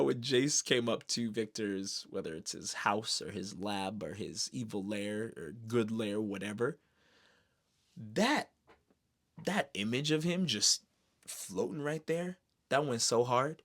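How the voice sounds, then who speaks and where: A man giving casual, excited fan commentary in a slangy, expressive way, throwing in exclamations. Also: medium pitch, a little slow. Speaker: streamer reviewing an animated series.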